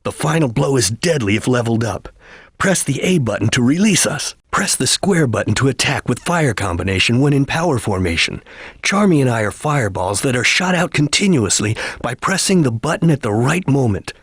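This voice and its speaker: A man voicing a stern, disciplined ninja chameleon in a video game, with a solemn, formal, clipped delivery and a husky voice. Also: medium pitch, very animated, fairly quick, dry recording, studio quality. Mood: measured, instructive. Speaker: video game character giving battle lines and tutorials. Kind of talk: dramatic video game voice acting.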